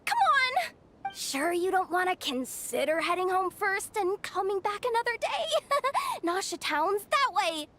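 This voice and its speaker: Squeaky, fawning little guide. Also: female voice, sing-song, measured pace, bright, forceful tone, slight background noise, heavily acted. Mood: pleading, flustered. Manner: fawning, hesitant, whiny. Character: small, flustered, wheedling female guide, cartoonishly eager, timid. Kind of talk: video game voice acting.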